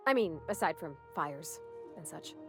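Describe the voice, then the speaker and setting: An actress playing a sweet, earnest young ship engineer in a video game, chatty in a folksy, polite, eager way. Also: female voice, sits low, expressive, unhurried, music in the background. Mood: cheerful reassurance. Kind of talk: video game dialogue acting.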